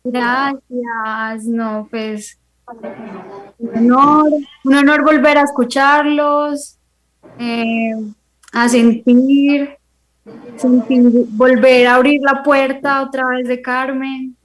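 A woman guest talking casually, haltingly, often repeating words as she goes. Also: high voice, little pitch movement, rough recording. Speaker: interview guest, fashion designer. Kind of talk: casual, unscripted talk.

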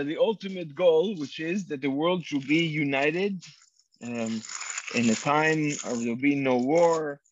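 A man's voice: a little high, slight background noise. A man whose first language is Hebrew, a rabbi answering interview questions in English with many fillers and restarts. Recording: dull lo-fi dry sound.

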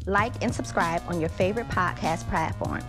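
A woman's voice: fairly low pitch, normal speed, over music. A woman reading a casual, cheeky podcast promo, speaking brashly and directly to the listener. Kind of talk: casual, cheeky advertisement read.

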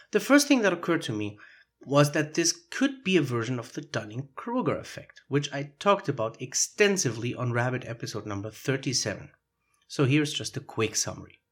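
A man hosts his own podcast alone, speaking English with a foreign accent. His voice is husky. His pitch moves a lot, and he explains things in a reflective, thoughtful way. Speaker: podcast host. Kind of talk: solo podcast monologue.

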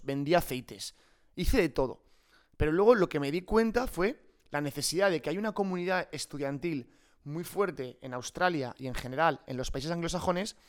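A man from Spain with a Peninsular Spanish accent, telling stories informally and colloquially as a podcast guest. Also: fairly high pitch. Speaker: podcast guest, startup co-founder. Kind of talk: improvised conversational interview.

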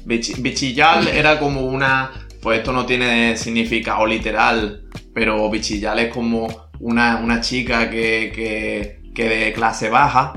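A man's voice: mid-range voice, little pitch movement, unhurried, sharp tone. A man playing a brash, touchy male reggaeton singer, full of slang. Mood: prickly. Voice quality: slangy, keeps saying brother, prickly. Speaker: guest artist. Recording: roomy with faint music.

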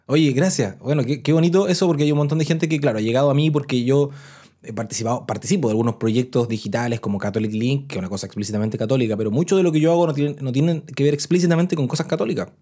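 A Chilean man hosts a podcast in casual, chatty talk. His voice is mellow and dark in tone, and his pitch sits in an ordinary middle range. He often restarts phrases as he talks. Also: quick pace, breathy edge. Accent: Chilean Spanish. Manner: chatty, restarts phrases, reads out listener messages. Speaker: podcast host.

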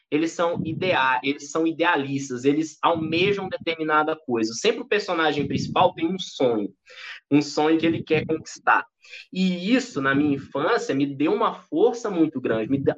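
Husky Brazilian man in his thirties. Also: a little high. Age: 30s. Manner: chatty and animated, with frequent restarts. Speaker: interview guest. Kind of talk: casual interview talk. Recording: dull lo-fi audio.

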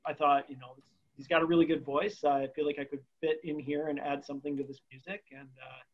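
A man in a band, telling the story of how the group formed in a relaxed, upbeat way. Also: on the high side, fairly quick, dull audio. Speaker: band member guest. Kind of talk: casual chat.

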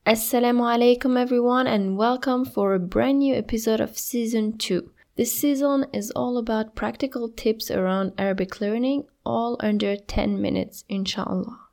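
A woman hosts her own podcast, talking alone in a reassuring, encouraging way. Her voice sits a little high and carries a gravelly fry. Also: fairly even intonation, normal speed, hardly any pauses, a little mellow. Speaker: podcast host. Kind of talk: solo podcast advice talk.

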